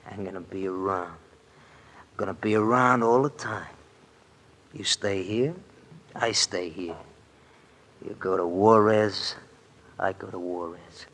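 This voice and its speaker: A man's voice: low voice, fairly bright tone, a little hiss or hum. A man playing a sadistic, menacing underworld thug in a 1950s radio drama, taunting and threatening in slangy talk. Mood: taunting threat. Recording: old AM radio drama recording.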